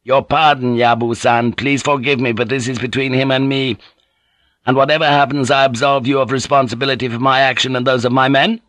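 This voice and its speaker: A man's voice: medium pitch, flat delivery, brisk, a bit bright, dry recording, pitched above the performer's own voice, close to an everyday voice. A man voicing a proud young samurai bent on honor, formal and defiant, in an audiobook. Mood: cold defiance. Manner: formal, defiant, clipped politeness. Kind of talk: audiobook character voice.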